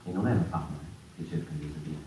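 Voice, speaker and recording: An actor in his fifties playing a reflective, impassioned stage performer in a halting theatrical monologue that repeats words and phrases. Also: a bass voice. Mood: hushed, reflective. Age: 50s. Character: impassioned fifty-year-old stage performer musing on freedom. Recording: echoey, distant, noisy stage room.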